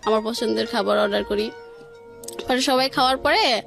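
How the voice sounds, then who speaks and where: উঁচু স্বরের বাংলাদেশি উচ্চারণের নারী. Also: female voice, on the high side, normal speed, creaky, a bit bright. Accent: Bangladeshi. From Bangladesh. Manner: colloquial, strings events together with quoted dialogue. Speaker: guest telling a personal story. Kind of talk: informal personal storytelling. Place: radio studio. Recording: faint music behind. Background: faint music.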